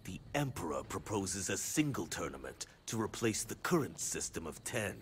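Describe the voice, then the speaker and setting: An actor playing a sly, scheming sorcerer in a video game, with a gravelly voice and formal, deliberate delivery. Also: male voice, low voice, sing-song, a little hiss or hum. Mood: sly, persuasive. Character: sly male sorcerer, scheming servant of an emperor. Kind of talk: video game cutscene acting.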